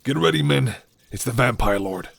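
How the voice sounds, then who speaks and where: Man acting as defiant army captain, gruff, forceful, barking orders, dry recording, fantasy roleplay. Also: medium pitch, expressive, moderate pace, no room sound, exaggerated voice. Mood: commanding.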